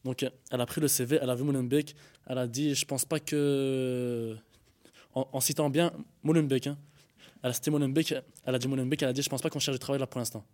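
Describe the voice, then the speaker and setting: Young man, mid-pitched voice, hesitant, stumbling restarts, personal testimony. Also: steady tone, fast, a little mellow. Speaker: panel guest. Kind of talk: personal testimony in a panel discussion.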